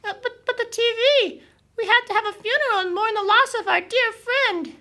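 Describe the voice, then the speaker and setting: A woman voicing an excitable, melodramatic puppet boy in a children's puppet show, stammering and whiny with goofy exaggeration. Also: very high voice, brisk, full character voice. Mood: whiny, upset.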